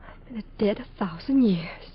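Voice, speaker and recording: A woman's voice: mid-range voice, lively intonation, unhurried, husky. An actress playing a startled, disbelieving young beauty queen in 1950s radio drama style. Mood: disbelieving, alarmed. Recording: muffled 1950s radio recording.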